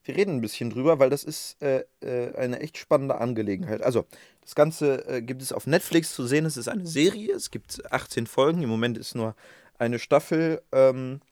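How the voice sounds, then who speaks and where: A man's voice: mid-range voice, expressive, normal speed, darkish tone, no room sound, studio quality. A man co-hosting a casual podcast, rambling and self-mocking, with plenty of fillers. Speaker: podcast co-host.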